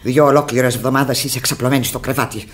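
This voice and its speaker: A woman's voice: extremely low pitch, steady tone. An actress playing a stern, impatient, practical woman in a Greek radio drama, firm, insistent and scolding. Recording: echoey, noisy old radio recording.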